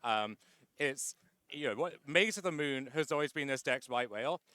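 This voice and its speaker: A man's voice: mid-range voice, lively intonation, moderate pace, sharp tone, clean tone, no room sound. A man being interviewed after winning a card tournament, chatty and wry, with lots of "uh" fillers. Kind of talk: casual post-match interview.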